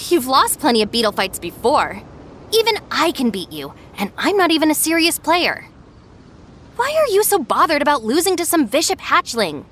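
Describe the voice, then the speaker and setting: A woman's voice: sits high, big pitch swings, moderate pace, fairly bright tone, a little hiss or hum. A woman playing a sensible, stern young gang deputy in an anime game, speaking in a firm, no-nonsense way. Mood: challenging.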